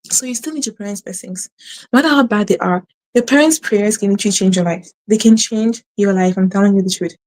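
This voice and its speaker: Woman channel host, mellow tone, quick and earnest, stutters and restarts; faith-based advice to camera. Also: medium pitch, rough recording. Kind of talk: casual spiritual advice to camera.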